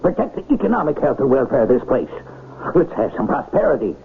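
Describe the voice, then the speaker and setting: A man's voice: medium pitch, very animated, fast talker. An actor playing a pushy small-town newsman with rabble-rousing ways in an old radio drama. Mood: exasperated, insistent. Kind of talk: 1980 radio drama acting. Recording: noisy, tinny old radio broadcast recording.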